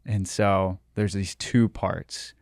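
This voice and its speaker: Twenties guy cohosting a faith podcast, lowish voice, super dry close sound. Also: steady tone, slow, gravelly, darkish tone, dry recording. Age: 20s. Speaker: podcast co-host.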